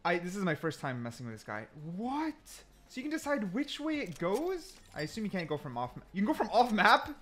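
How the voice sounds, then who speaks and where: Guy doing casual gameplay commentary, lively swingy pitch, a bit high, thinks out loud. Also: a little fast, hardly any pauses, faint music behind. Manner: thinks aloud, repeats himself for emphasis. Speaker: gaming content creator. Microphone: desk mic, sometimes turns away from it.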